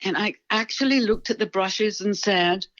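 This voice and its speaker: An older woman, in her seventies, speaks with a faint Scottish lilt and tells stories gently, pausing to restart her phrases. The sound is a touch dull and lo-fi, and the room is dry. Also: mid-range voice, no room sound. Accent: slight Scottish. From Scotland. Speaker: guest artist. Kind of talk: podcast interview.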